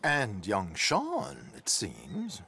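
An actor playing a dapper, courtly gentleman trickster in a Western video game, genteel and theatrical. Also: on the low side, wildly swooping pitch, drawn-out words, gravelly, slightly rough, exaggerated voice. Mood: confiding. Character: dapper, flamboyant gentleman trickster of the Old West. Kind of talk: dramatic video game acting.

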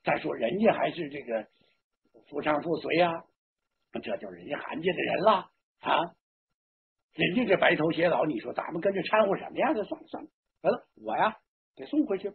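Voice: mid-range voice, sing-song, fairly quick, creaky, pauses often, narrow, tinny audio, slight background noise. A storyteller voicing a flustered old father in a traditional tale, pleading and resigned, stammering and repeating words. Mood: resigned, helpless.

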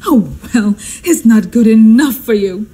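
Woman acting a histrionic, high-voiced society hostess. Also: animated, a little slow, warm tone, slightly rough. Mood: exasperated, indignant. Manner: theatrical, exclamatory, flustered. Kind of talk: stagey radio drama acting.

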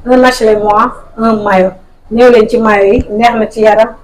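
A woman with a Senegalese accent speaks in a fairly high voice, brisk and enthusiastic. She addresses fellow fans, pressing them to support the team. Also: steady tone, poor audio. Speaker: club supporter. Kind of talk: promotional appeal.

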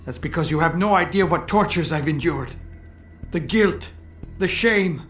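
An anguished, high-voiced disgraced surgeon. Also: male voice, lively intonation, measured pace, a bit bright, faint music behind. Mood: despairing. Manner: formal, anguished. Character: guilt-ridden, betrayed male surgeon, bitter and despairing. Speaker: former surgeon. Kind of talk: video game cutscene acting.

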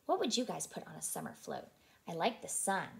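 A woman reading a picture book aloud to children in an expressive way, as a childcare teacher who puts questions to her listeners. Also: fairly low pitch, a little fast, gravelly.

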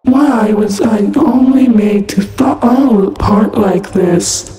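A woman voicing a menacing, broken animatronic fox for fan-made game lines, stuttering and glitchy, halting and sinisterly sweet. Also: mid-range voice, dark tone, close to an everyday voice. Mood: broken, wistful. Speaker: amateur voice actor. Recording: echoey long reverb over music.

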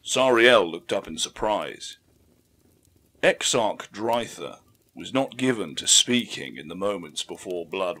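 Male narrator, fairly low register, for dramatic fiction audiobook; deliberate, solemn storytelling. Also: a bit bright.

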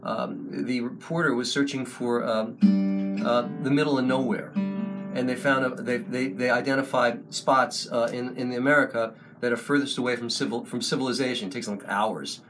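An older man hosting a casual livestream from a small room, talking slowly into a close webcam, rambling with many ums and restarts. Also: medium pitch, steady tone, music in the background. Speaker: online service host and songwriter. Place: small room before a wooden wall, indoors. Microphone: webcam at close range.

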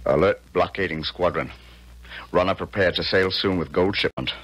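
A Confederate colonel in a radio play, formal and emphatic. His voice is fairly low and husky. He is stern, lovelorn and easily agitated. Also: moderate pace, fairly bright tone, rough recording. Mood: pained. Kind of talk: 1970s radio drama acting.

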